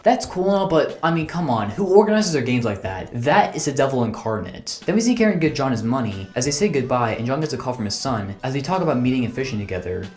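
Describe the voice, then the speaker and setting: A man's voice: medium pitch, very animated, fast talker, hardly any pauses, soft background music. A man delivering a scripted movie recap and review with sarcastic, casually profane asides.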